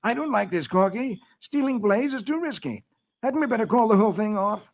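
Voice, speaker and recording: Man voicing a high, nervous radio schemer. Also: lively intonation, a little fast. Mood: anxious. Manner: stammering, furtive, fretful. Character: nervous, scheming young male horse-rigging villain. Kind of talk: 1940s children's radio comic-strip reading. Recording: muffled vintage radio recording.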